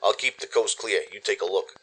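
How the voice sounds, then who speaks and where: A man playing a full-grown male zebra scavenger in an audio drama, brisk, familiar and commanding but helpful. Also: on the low side, bright, forceful tone, rough recording. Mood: matter-of-fact.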